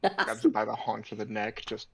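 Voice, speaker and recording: A man's voice: on the low side, animated, moderate pace, vocal fry, a little mellow. A man chatting casually as a player in a tabletop roleplaying game. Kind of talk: casual chat.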